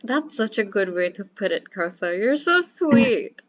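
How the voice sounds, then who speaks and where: Young woman, student interviewer, upbeat and encouraging, mid-pitched, muffled lo-fi sound. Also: sing-song, measured pace, a little hiss or hum. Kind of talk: casual interview hosting.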